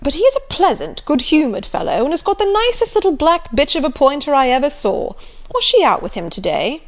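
A woman voicing a hearty, blustering middle-aged country squire who talks loudly and jovially. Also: high-pitched, big pitch swings, fairly quick, pitched above the performer's own voice. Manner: loud, jovial, emphatic.

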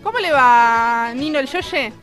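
A woman's voice: high voice, lively intonation, measured pace, a bit bright, faint music behind. A woman with an Argentine accent hosting a comedy radio show in a playful, teasing way. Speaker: radio host.

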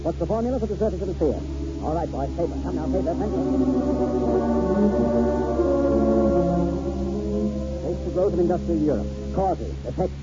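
Fast, excitable schoolmaster, played by a man, vintage radio. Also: on the high side, over loud music, natural voice. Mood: urgent drilling. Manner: urgent, clipped, emphatic delivery. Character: earnest, excitable Scottish schoolmaster and devoted mentor. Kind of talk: 1940s radio acting. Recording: noisy, muffled 1940s radio recording.

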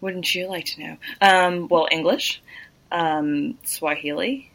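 A young woman raised in Kenya, with Luo as her mother tongue, talks in a low, gravelly register that still rings bright and forward. She teases her co-hosts and drifts into quieter asides in relaxed podcast chat. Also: slow, poor audio. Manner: playful, teasing, drops to soft asides. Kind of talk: casual chat.

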